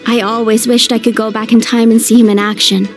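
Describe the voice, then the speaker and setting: A woman's voice: on the high side, flat delivery, brisk, soft background music, natural voice. A woman voicing a young female warrior in a video game, encouraging, sincere and loyal. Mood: reverent.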